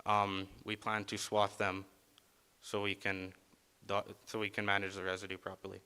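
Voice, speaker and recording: A man's voice: fairly low pitch, completely flat delivery, moderate pace, bright, forceful tone, clean tone. A young man, a college student, wrapping up a class presentation and answering audience questions, repeating each question back with a few hesitant fillers.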